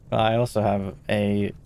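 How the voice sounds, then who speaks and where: A man's voice: creaky, a little mellow. A tired Norwegian man streaming a game, chatting casually and hesitantly with his viewers. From Norway. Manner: tired, hesitant, low-key. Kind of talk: casual chat while gaming.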